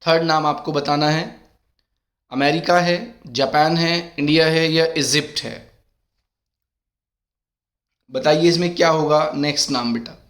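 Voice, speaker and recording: A middle-aged man teaches an exam-prep class, his voice sitting a touch higher than most men's. His throat is sore. He reads each question out slowly and warmly calls his students beta. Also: fairly even intonation. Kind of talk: exam-prep lesson in Hindi mixed with English.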